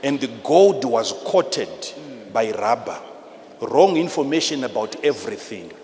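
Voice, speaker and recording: A man with a Zimbabwean accent preaching a sermon in an emphatic, repetitive, teacherly manner, swinging between hushed and loud delivery. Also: medium pitch, animated, a little slow. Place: large church hall with PA. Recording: live in a large reverberant hall, noisy.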